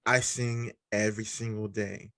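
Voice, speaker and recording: Man, podcast host, deep low voice, dry sound, relaxed and halting. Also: no room sound. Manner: relaxed, halting with fillers and restarts. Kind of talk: casual interview chat.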